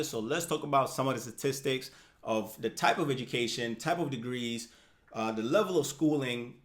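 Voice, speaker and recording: A man in his thirties hosting a personal finance channel, talking straight to the viewer in a casual, upbeat way and checking in with "right?" and "okay?". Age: 30s. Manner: animated, rises in pitch and loudness for emphasis. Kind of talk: casual explainer talking to camera.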